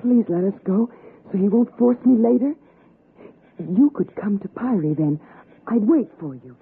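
An actress playing a scheming, cajoling city wife in a theatrical old radio suspense style. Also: female voice, mid-range voice, expressive, unhurried, creaky, slightly rough, phone-quality sound, heavily acted. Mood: pleading. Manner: coaxing, theatrical. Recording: thin, noisy 1960s radio recording.

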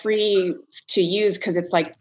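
A woman's voice: medium pitch, fairly even intonation, slow pace, a little hiss or hum. A woman comedian from Jamaica, chatting on a podcast over a video call in a wry, opinionated way. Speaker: comedian guest. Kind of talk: casual chat.

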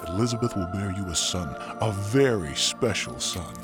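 An actor playing a solemn male angel in a Bible audio drama, speaking with measured authority. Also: fairly low pitch, over loud music, close to an everyday voice. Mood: solemn, calm.

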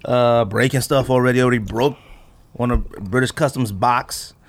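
A man's voice: medium pitch, steady tone, normal speed. An American man with a cold, hosting a casual podcast chat in informal, slangy speech. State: under the weather with a cold. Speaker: podcast host.

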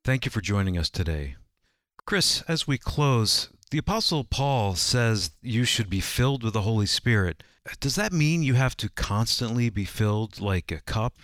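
Low-voiced man announcing a radio program. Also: expressive, moderate pace, a bit bright, dry recording, studio quality.

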